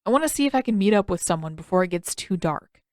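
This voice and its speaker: A woman's voice: medium pitch, lively intonation, fast, a little mellow, dry recording, studio quality, natural voice. Woman acting a cocky, defensive teenage boy. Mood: evasive, defensive. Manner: casual, exasperated, blustering.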